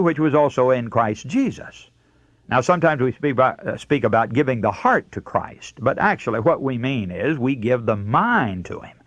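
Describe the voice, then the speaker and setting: An American man delivers a radio sermon in a close, dry sound. His pitch moves in lively swings as he teaches with earnest emphasis, setting out points and scripture like a seasoned broadcast preacher. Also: no room sound.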